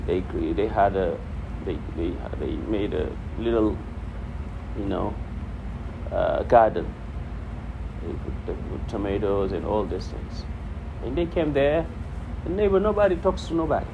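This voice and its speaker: Male religious teacher, low voice, conversational and repetitive for emphasis; noisy room with steady hum. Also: unhurried, vocal fry, lo-fi sound. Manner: repeats phrases for emphasis, conversational. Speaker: preacher teaching hadith. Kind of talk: informal religious talk to a congregation, quoting Arabic.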